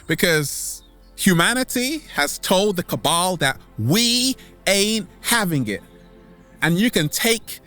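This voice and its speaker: A man's voice: a little high, fairly bright tone. A man giving a motivational monologue as an online host, theatrical and exclamatory, drawing out his words. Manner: theatrical, exclamatory, with drawn-out words and sudden swoops. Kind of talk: motivational monologue to camera, catchphrase-heavy. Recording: background music under the voice.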